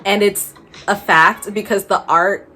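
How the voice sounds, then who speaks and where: A woman's voice: medium pitch, steady tone, unhurried, pushed and bright, a little hiss or hum. A young adult woman chatting casually as a book vlogger, gushing and slangy, repeating words for emphasis. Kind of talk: casual chat to camera. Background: background music.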